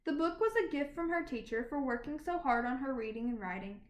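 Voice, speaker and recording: A woman reads a children's picture book aloud in an animated, deliberate storytelling manner. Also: high voice. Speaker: storybook reader. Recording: roomy, echoing sound.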